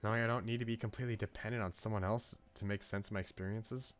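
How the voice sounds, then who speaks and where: A man voicing a wistful, gentle young woman from a visual novel, in a musing manner. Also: on the low side, fairly even intonation, fast, natural voice. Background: game music.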